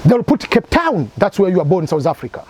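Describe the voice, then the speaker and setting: A man's voice: high voice, big pitch swings, fairly quick, darkish tone, breathy edge. A man from Tanzania, hosting an advice channel and explaining his points through repeated examples. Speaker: immigration advice channel host.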